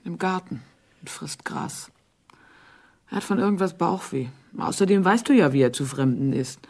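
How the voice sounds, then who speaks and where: A tender, worried wife and mother in a radio play. Her voice is husky and breathy, with a gravelly fry at the edges. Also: on the low side, expressive, normal speed, darkish tone. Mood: uneasy. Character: tender, anxious wife and mother in London suburb. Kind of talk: German radio play acting.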